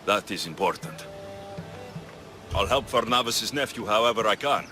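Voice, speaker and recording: An actor playing a capable, earnest Greek mercenary hero in a video game cutscene. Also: male voice, fairly low pitch, normal speed, over music, close to an everyday voice. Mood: concerned, firm.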